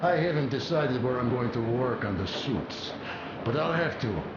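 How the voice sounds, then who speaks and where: Game casting: actor playing jovial male workshop armourer, chatty and practical, swinging intonation; muffled sound under loud ambience. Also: mid-range voice, lively intonation, moderate pace, roomy sound, heavy background noise, close to an everyday voice. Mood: practical, determined. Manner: jovial, boastful, folksy. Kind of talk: video game character acting.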